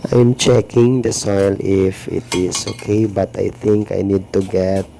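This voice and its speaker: A man with a Filipino accent narrating a home gardening vlog in a slow, earnest way. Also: deep voice, flat delivery, vocal fry, warm tone, a little hiss or hum. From Philippines. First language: Tagalog or Filipino. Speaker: gardening vlogger.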